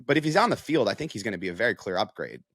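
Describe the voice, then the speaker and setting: A man's voice: fairly low pitch, sing-song, rapid-fire, a bit bright, no echo, clean studio sound. An American man in a casual sports analysis chat as a reporter, conversational and peppering his points with "like" and "you know". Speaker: sports reporter, podcast guest.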